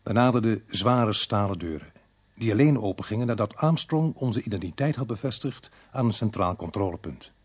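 A man playing a police officer who narrates a crime radio drama in a measured, suspenseful way. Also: fairly low pitch, expressive, slightly rough, no room sound. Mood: tense, measured. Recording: noisy archived radio drama recording.

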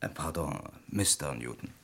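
A cheerful young lawyer, freshly made a partner, in a vintage radio drama. His voice sits very low but roams widely, full of easy humour. He shrugs things off and keeps the tone light. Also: lively intonation, normal speed, a bit bright, full character voice. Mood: amused. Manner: jovial, breezy and self-deprecating. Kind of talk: 1950s-80s radio play acting.

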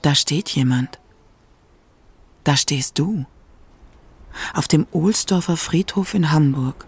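A man's voice: on the high side, slow pace, breathy edge. A man narrating a scripted radio documentary as its feature narrator. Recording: music under the voice. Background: music.

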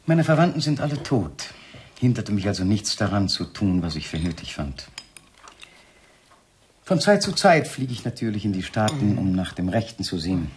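An actor playing a cultured, controlled doctor in a radio play, speaking in a measured, urbane, coaxing way. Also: male voice, lowish voice, big pitch swings. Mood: coolly matter-of-fact. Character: cultured doctor, controlled and hospitable, coolly resigned to his afflicted wife. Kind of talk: 1970s German radio drama acting. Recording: noisy 1970s radio play recording.

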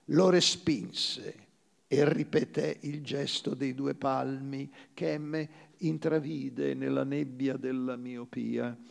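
A man narrates literary fiction as if on stage. His voice is thick with creak and sits a little higher than average. He takes his time, phrasing slowly and deliberately and leaving dramatic pauses. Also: a little mellow. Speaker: actor reading a short story.

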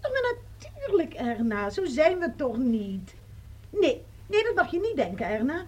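A woman's voice: high voice, sing-song, unhurried, darkish tone. An actress playing a talkative, defensive woman friend, chatting on the phone in a radio play. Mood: chattering. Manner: chatty, defensive, self-justifying.

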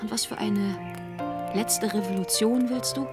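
An actress in a German radio play playing a fervent revolutionary leader, emphatic and persuasive. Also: female voice, mid-range voice, fairly even intonation, measured pace, lightly acted. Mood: urgent, persuasive. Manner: emphatic, rhetorical, insistent. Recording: music behind the voice.

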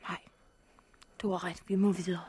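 An actress playing a concerned, independent young woman in a 1960s radio drama, direct, questioning and soft-spoken. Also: lowish voice, level tone, husky. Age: 20s.

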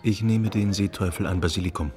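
A man acting a calm, wry investigator with a low voice over faint music. He talks in an unhurried, teasing way, as in a radio play. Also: natural voice. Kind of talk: German radio drama acting.

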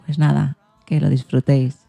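A woman's voice: low-pitched, flat delivery, normal speed, vocal fry, warm tone, soft background music. A woman with a Spain accent hosting a podcast in a casual, chatty introduction style. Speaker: podcast host.

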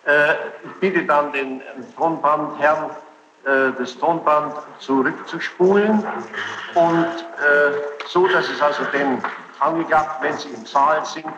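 A man presiding as judge, questioning and giving rulings in formal, halting phrases with pauses. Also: on the high side, steady tone. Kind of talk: courtroom questioning and procedural rulings. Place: courtroom, taped from the room. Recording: echoey, muffled, noisy archival courtroom tape.

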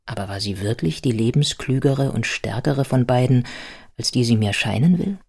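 A woman's voice: extremely low pitch, normal speed, gravelly, darkish tone, slightly rough, heavily acted. An actress playing a reflective female biographer who narrates and questions her own work in a radio drama. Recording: music under the voice. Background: music.

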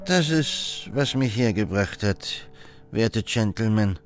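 A man's voice: lowish voice, lively intonation, slow, faint music behind. A man voicing a very old, wrinkled woman who rambles on in folksy common speech. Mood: chatty.

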